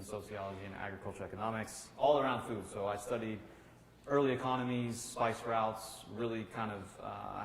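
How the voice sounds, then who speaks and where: A middle-aged American man from Kentucky, a chef talking casually and telling stories as a guest. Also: fairly low pitch. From Kentucky, United States. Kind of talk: casual conversational storytelling. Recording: roomy, echoey room with faint noise.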